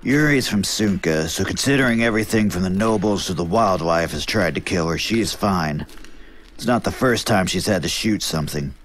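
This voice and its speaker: A man's voice: deep voice, moderate pace, a little hiss or hum, close to an everyday voice. A man playing a reluctant, skeptical young prince in an audio drama. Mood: concerned, dry. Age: young adult.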